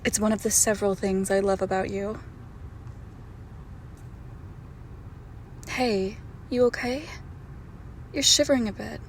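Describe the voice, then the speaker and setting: A woman playing a doting, possessive girlfriend who is secretly a monster, in an intimate, hushed roleplay audio drama. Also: medium pitch, slight background noise, close to an everyday voice. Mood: tender, reassuring. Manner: slow, hushed, tender, often dropping to a whisper.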